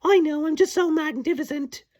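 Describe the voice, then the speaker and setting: A man's voice: extremely high pitch, normal speed, a little mellow, voice pushed up. Man putting on a cheerful, boastful little fairy girl.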